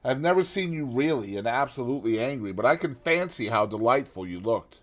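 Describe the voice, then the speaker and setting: A man voicing a worldly, cynical aristocratic gentleman in an audiobook, with a drawling, epigrammatic manner. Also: mid-range voice, lively intonation, a little fast, natural voice. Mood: languid. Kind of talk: audiobook character reading.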